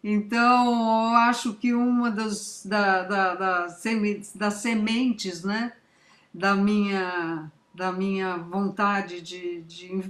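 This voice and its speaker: A woman's voice: mid-range voice, steady tone, extremely slow, a bit bright. An older woman from Italy, a guest writer in a casual interview, reflective and hesitant as she recalls. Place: library event. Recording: echoey room.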